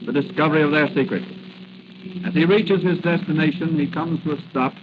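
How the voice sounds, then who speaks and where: A man performs a dramatic serial narrator in golden-age radio style, ominous and theatrical. The recording is dull, with clear background noise. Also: on the high side, fairly quick, close to an everyday voice. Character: dramatic suspense serial announcer. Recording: muffled, noisy old radio recording.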